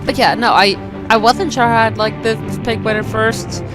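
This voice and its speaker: A woman's voice: on the high side, fairly even intonation, normal speed, low-quality recording. A woman giving casual let's play commentary, rambling along with wry asides. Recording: loud game music behind voice.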